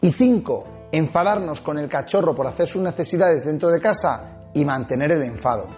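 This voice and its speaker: Man, 40s, Spanish from Spain accent, slightly high voice, emphatic, lapel mic, soft background music. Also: moderate pace. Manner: animated, big hand gestures, emphatic swings in pitch. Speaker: dog trainer and channel host. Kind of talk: energetic tips video to camera. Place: small indoor studio set with shelves.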